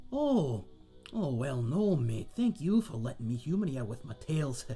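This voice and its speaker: A man playing a friendly tavern owner and former sailor, husky and chatty, telling tales in a folksy seafaring dialect in a fantasy audio roleplay. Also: mid-range voice, mellow, exaggerated voice. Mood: warm, jovial. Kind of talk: ASMR roleplay monologue in a fantasy setting.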